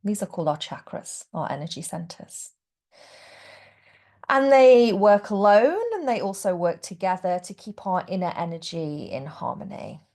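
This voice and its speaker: Female meditation guide, lowish gentle voice with heavy fry, hushed at phrase ends. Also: lively intonation, normal speed. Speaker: yoga nidra teacher guiding relaxation.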